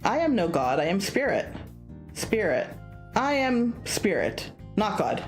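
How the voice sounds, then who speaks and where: A woman's voice: medium pitch, sing-song, a little slow, faint music behind. A woman voicing a stoic male clouded leopard spirit in a visual novel, speaking in short, terse phrases. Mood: solemn, firm.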